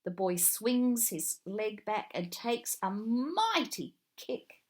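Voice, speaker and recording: Woman, storybook narrator, children's read-along, slow, deliberate, expressive, medium pitch.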